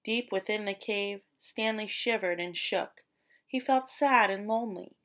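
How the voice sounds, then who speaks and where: A woman storyteller narrating a children's picture book expressively, with deliberate, dramatic emphasis on descriptive words. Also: mid-range voice, a bit bright. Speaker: read-aloud storyteller.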